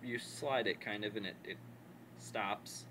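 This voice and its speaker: A man's voice: fairly low pitch, a little slow. Man giving a casual tutorial, noisy recording. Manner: instructional, repeats steps for emphasis. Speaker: presenter of a gaming headset setup tutorial. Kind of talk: casual unscripted tutorial talk.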